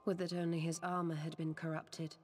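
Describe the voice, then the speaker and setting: Low, grave woman sage in long reverb actress. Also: flat delivery, darkish tone, faint music behind, lightly acted. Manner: solemn, deliberate, archaic formal phrasing. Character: grave, stately woman sage of arcane lore. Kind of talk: video game voice acting.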